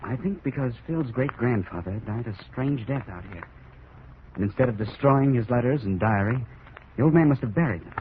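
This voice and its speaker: A man's voice: medium pitch, lively intonation, normal speed, close to an everyday voice. A man playing a steadfast, decisive plantation hero in 1940s radio drama, speaking earnestly. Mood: sober, explaining. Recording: muffled old radio, clear hiss.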